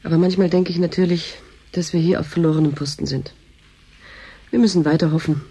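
Weary stern middle-aged lady doctor running a station, radio play, really husky and dark soft tone. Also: deep voice, steady tone, brisk. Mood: weary, hopeful. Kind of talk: German radio drama acting.

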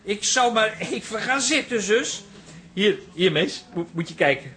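Excitable, very high-voiced artist brother. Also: male voice, a little slow, full character voice. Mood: gleeful, proud. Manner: eager, emphatic, repeats words. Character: Mani, excitable, flamboyant artist brother, proud and playful. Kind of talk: Dutch radio drama acting.

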